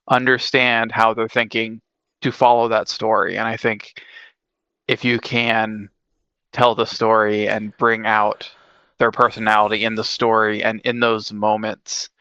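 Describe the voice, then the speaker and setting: A man with a slightly low, bright and forceful voice co-hosts a casual talk. He explains things earnestly, repeating key points, with little rise and fall. The recording is close but a bit dull. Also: clean tone, dry recording. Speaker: podcast co-host. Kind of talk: casual conversational discussion.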